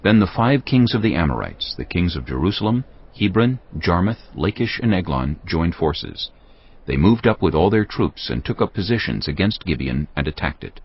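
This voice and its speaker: Very deep gravelly man narrating scripture. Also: very animated, dull audio. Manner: solemn, measured storytelling. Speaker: audio Bible narrator. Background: background music.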